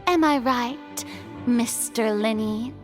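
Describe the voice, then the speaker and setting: A woman's voice: on the high side, takes it slowly, music in the background. An actress voicing a haughty, theatrical young woman in a video game, speaking in a grandiose, pompous manner. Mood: accusing, grandiose.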